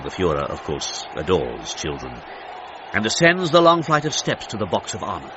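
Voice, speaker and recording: A man's voice: low voice, very animated, normal speed, crowd noise, noisy background. An actor playing a stately, dramatic male commentator in the style of a 1930s radio broadcast, full of reverence. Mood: stately, awed. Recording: dull band-limited sound with music behind.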